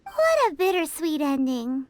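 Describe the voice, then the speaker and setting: Childlike fairy sidekick in an anime game, extremely high and perky, unhurried pace. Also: female voice, very animated, dry recording. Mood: pleased. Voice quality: squeaky, childlike. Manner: perky, speaks of self by name. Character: tiny chirpy floating fairy companion, childlike girl. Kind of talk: anime game dub acting. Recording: loud noise behind voice.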